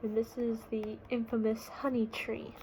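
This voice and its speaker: A woman reading a picture book aloud to children, explaining simply and pausing to put questions to her listeners. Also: a little high, little pitch movement, mellow, a little hiss or hum, poor audio. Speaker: read-aloud storyteller.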